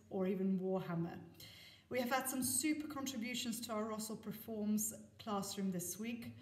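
A middle-aged woman, a deputy head, giving a prepared school assembly address to camera. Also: medium pitch. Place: small office indoors with bare walls. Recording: echoey room, slightly distant mic.

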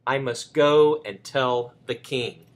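A man voicing a frantic, alarmed little hen in a children's storybook, his voice a bit husky. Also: mid-range voice, takes it slowly, natural voice.

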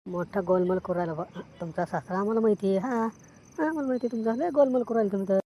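A woman's voice: mid-range voice, fast talker, warm tone, close to an everyday voice. A woman voicing a coaxing, nosy village man in an amateur cartoon comedy, insistent and repetitive, with a rural Maharashtrian accent. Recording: noticeable background noise.

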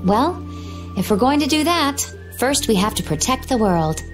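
A woman voicing a serene, coy young female warrior in a video game, with a quietly wistful manner. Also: high-pitched, over music. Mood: coy, gentle. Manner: calm, coy, unhurried. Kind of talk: video game cutscene acting.

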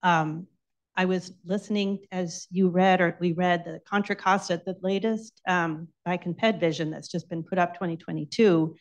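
A woman gives public comment at a meeting. Her voice sits a little low for a woman. She speaks as a member of the public addressing a board. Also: fairly even intonation, moderate pace. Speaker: public commenter, bicycle coalition president. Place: indoor public meeting room.

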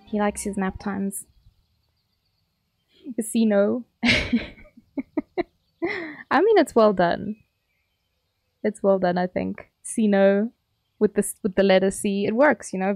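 A woman's voice: mid-range voice, mellow, dry recording, clean studio sound. A young woman with a South African accent, chatting casually and teasingly with her viewers while streaming a game. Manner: chatty, playful, teasing chat.

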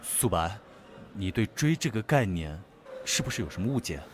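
A man's voice: on the low side, sing-song, normal speed. A man playing a self-assured male student in an audio drama, teasing and coaxing the one who likes him. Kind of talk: amateur Chinese audio drama acting.

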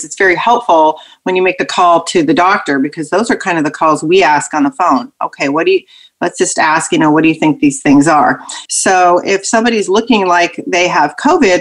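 A woman physician explaining health topics on a radio talk show in a practical, even manner. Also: fairly low pitch. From Pacific Northwest, US. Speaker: physician guest.

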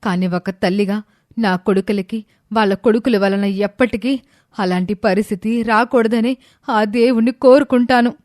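A woman's voice: mid-range voice, normal speed, a little mellow, no room sound, studio quality, lightly acted. A woman voicing an elderly widowed mother, dignified and hurt, speaking with emotional, emphatic reproach. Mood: hurt, proud. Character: wounded, dignified elderly widowed mother rebuking her sons.

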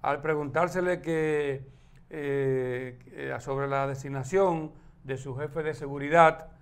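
A man's voice: fairly high pitch, fairly even intonation, slow pace, clean tone. A man in his forties with a Dominican accent, giving casual news commentary as a morning show co-host. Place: TV studio desk.

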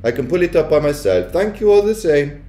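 A man puts on an elderly grandmother for a storybook. Her voice is high and lilting, polite and breezily composed, in a roomy space. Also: lively intonation, fairly quick, mellow, natural voice. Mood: cool chattiness. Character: cool, chatty, kindly old grandmother.

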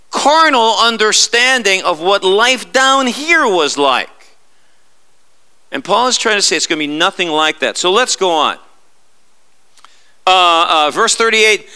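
Bright, high-voiced middle-aged man preaching. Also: smooth. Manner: animated, gesturing, many restarts and filler stammers. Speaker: pastor. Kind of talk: sermon. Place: church stage, indoors.

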